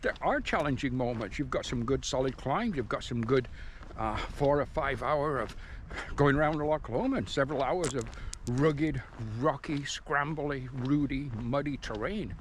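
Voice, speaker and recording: A middle-aged man, born in England softened by speech, chatting casually to camera as a hiking vlogger in a resort cafeteria. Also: mid-range voice, unhurried, a little hiss or hum. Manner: chatty, rambling, with chuckles and restarts. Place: mountain resort cafeteria, indoors.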